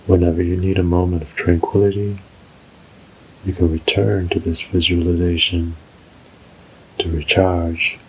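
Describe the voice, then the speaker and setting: Deep, slow-speaking man guiding meditation. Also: level tone, a little hiss or hum, poor audio. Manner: soothing, measured, unhurried delivery. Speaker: guided meditation narrator. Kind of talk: slow scripted guided meditation.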